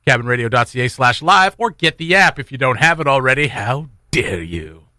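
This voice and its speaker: A man's voice: medium pitch, lively intonation, fairly quick, sharp tone, no echo, clean studio sound. A man hosting a morning radio show, chatting casually and jokingly, rambling loosely between community announcements.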